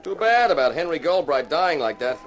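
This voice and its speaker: An actor playing a dry, wisecracking insurance investigator who narrates a 1950s radio detective drama. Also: male voice, fairly high pitch, very animated, a little fast. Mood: wry probing. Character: wry, hard-boiled male insurance investigator and narrator. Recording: slightly noisy 1950s radio recording.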